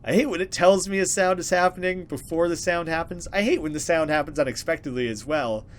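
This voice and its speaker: A man's voice: on the high side, fairly quick, a little hiss or hum. A man hosting a let's play, reading horror game narration dramatically and reacting with casual commentary.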